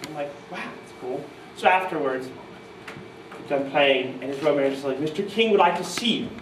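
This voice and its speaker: A man in his thirties from New York state, telling animated, self-mocking stories to a live audience at a guitar clinic. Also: a little high, moderate pace, a little hiss or hum. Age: 30s. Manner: animated, self-mocking, mimics voices in quotes. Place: music store clinic room, audience present. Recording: roomy live sound from far off.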